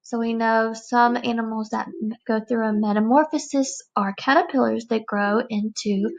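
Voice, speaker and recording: A woman's voice: on the high side, fairly even intonation, normal speed, vocal fry, muffled recording. A woman teacher giving a read-aloud lesson for young children, explaining things emphatically and checking understanding. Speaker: elementary teacher reading aloud.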